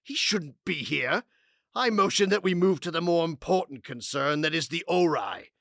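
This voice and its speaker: Embattled vampire politician: higher-pitched, gravelly voice, forceful and desperate, scheming audio-drama council member. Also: male voice, lively intonation, moderate pace, dry recording, clean studio sound. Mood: indignant. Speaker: actor.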